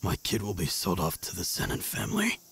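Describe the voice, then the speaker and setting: A man's voice: deep voice, wildly swooping pitch, brisk, fairly bright tone, in a large hall, voice pushed down, exaggerated voice. A man voicing a hardened, proud assassin in an anime fan dub, speaking in a grim, restrained manner. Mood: grim, resigned. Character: hardened adult male assassin, proud, brooding, cynical. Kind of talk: anime manga fan dub, inner monologue.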